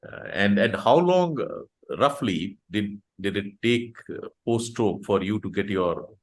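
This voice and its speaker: A man's voice: on the low side, expressive, unhurried. A man with an Indian accent hosting an online chat, talking casually and hesitantly with many fillers and restarts. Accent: Indian English. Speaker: host of an online support group chat. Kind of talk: casual conversational interview.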